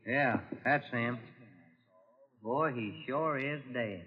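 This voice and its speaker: An actor playing an easygoing small-town police chief with a folksy, ungrammatical drawl in an old-time radio drama. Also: male voice, mid-range voice, extremely slow, background noise. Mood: urgent, shocked. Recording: dull vintage radio recording.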